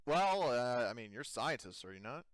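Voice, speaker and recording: A man's voice: mid-range voice, big pitch swings, dry recording, clean studio sound, lightly acted. A man playing a hesitant, evasive colony administrator in a tabletop sci-fi game, hedging as he goes. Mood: hedging, persuasive. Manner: halting, hedging. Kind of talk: improvised tabletop roleplay dialogue.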